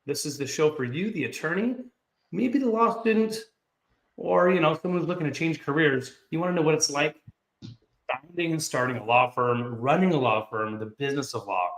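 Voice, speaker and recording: A man's voice: a little high, a little fast, echoey room, poor audio. Upbeat jokey man in his thirties. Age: 30s. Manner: jokey, upbeat, self-deprecating. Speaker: host. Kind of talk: casual video-call chat. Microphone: video call.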